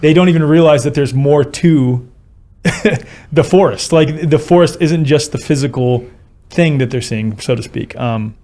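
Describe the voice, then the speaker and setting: A man's voice: on the high side, a little slow, darkish tone. A man hosting a podcast, talking in a reflective, conversational way and searching for his words. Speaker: podcast host.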